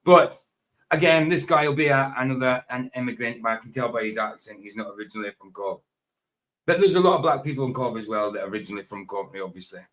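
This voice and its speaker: A man's voice: medium pitch, fast talker, a bit bright. A middle-aged Scottish man chatting on a live stream, repeating himself and drifting into tangents as he talks over a video. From Scotland.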